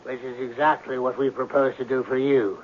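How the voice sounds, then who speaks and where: A man's voice: mid-range voice, little pitch movement, brisk, natural voice. Man playing a curt, bored judge, muffled radio. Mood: impatient, dry. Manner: curt, dry, officious. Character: brisk, bored, dismissive judge rushing through cases. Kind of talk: 1970s comic radio drama acting. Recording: muffled, hissy old radio broadcast.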